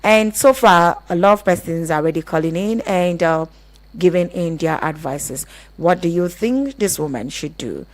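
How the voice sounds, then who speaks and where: A woman's voice: fairly low pitch, lively intonation, normal speed. A woman with a Nigerian accent presenting a live radio call-in show in a conversational, emphatic way. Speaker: radio relationship show host.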